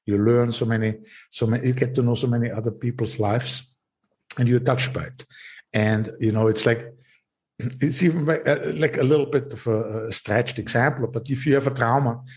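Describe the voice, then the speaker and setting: Austrian male podcast host, mid-range pitch, restarts and stammers, chatty. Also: fairly even intonation. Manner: halting, repeats words, laughs softly. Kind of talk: casual interview chat.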